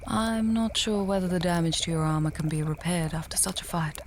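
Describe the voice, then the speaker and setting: A scholarly female mage from a fantasy audio drama, acted by a woman, speaks in a fairly low voice. She is earnest and measured, reasoning aloud with a dry, testy edge. A noisy ambience sits under her. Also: close to an everyday voice. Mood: thoughtful, practical.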